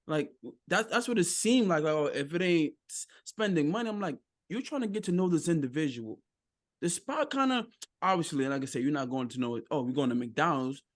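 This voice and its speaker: A man in his thirties thinks aloud on a dating podcast, restarting his sentences and repeating words. His voice runs higher than most men's and swings lively up and down. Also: a little fast. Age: 30s. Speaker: podcast guest. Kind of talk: casual opinionated chat.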